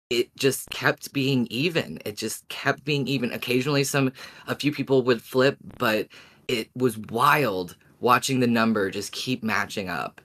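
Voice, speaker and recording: A podcast co-host with a medium-pitched voice of androgynous tone talks casually. The delivery is informal and conversational, with phrases repeated for emphasis. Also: a bit bright.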